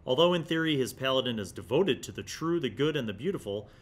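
A man's voice: mid-range voice, lively intonation, fast talker. A man hosts a gaming review series from an indoor studio at a desktop microphone, reading articles aloud and adding emphatic, conversational commentary. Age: 40s.

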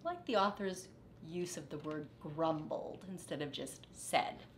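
A middle-aged woman reading a children's picture book aloud deliberately and expressively in a living room. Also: low-pitched, animated, roomy sound, a little hiss or hum. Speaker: storyteller, teacher. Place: living room, indoors on a couch.

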